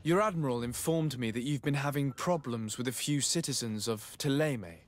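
An actor playing a polite, earnest young noble emissary in a video game, speaking formally and diplomatically. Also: male voice, mid-range voice, moderate pace, close to an everyday voice. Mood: businesslike. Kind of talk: video game dialogue acting.

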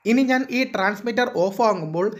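A man's voice: sits high, brisk. A man explains things as the host of an electronics tutorial.

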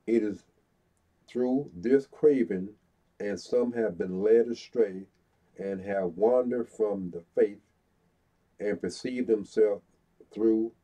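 A middle-aged man with a stuffy nose, slowly reading scripture aloud as a Bible teacher, pausing between phrases. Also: lowish voice. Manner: slow, deliberate reading with pauses, stuffy-nosed. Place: small room before a brick wall. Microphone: fixed camera, head and shoulders. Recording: dull lo-fi sound.

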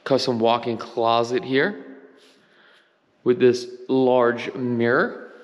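Realtor type, mid-pitched man, eager home-tour chatter, empty-room echo. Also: level tone, slow, highs cut off. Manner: enthusiastic, pointing out details. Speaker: real estate agent giving a home tour. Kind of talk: casual walkthrough narration. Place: empty house, large bare rooms. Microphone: handheld camera. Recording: long reverb of empty rooms.

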